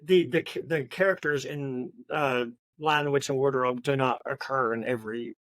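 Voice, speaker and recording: A man's voice: mid-range voice, fairly even intonation, normal speed, fairly bright tone, no echo. A man co-hosting a podcast in a casual book discussion, hesitating and repeating words as he goes. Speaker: podcast co-host.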